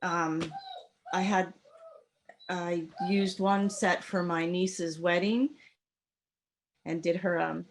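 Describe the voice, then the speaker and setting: A woman's voice: lowish voice, fairly even intonation, takes it slowly, pauses often. Hesitant woman, faint background noise. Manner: thoughtful, hesitant. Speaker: podcast co-host. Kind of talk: casual chat.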